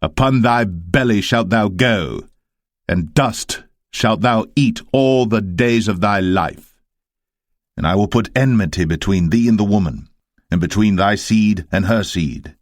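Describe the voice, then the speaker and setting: A stern almighty God performed by a man with a low voice and a dry, close sound. He pronounces judgement solemnly, in a measured, commanding way, as in an audio scripture drama. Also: dry recording, close to an everyday voice. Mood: stern, judging.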